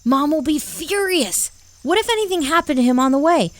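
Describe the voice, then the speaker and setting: A woman's voice: high-pitched, lively intonation, a little fast, dry recording. A woman playing a sensible, questioning young boy in a children's audio drama, inquisitive and cautious. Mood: worried.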